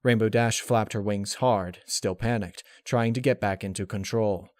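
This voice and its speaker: Male narrator, lowish pitch, dramatic scripted storytelling, dry close sound. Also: steady tone, moderate pace, darkish tone, no echo.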